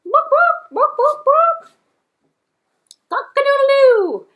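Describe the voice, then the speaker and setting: A woman voicing a frantic farmyard rooster in a puppet show, with shrill whooping, clucking and crowing. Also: very animated, slow pace, phone-quality sound, heavily acted.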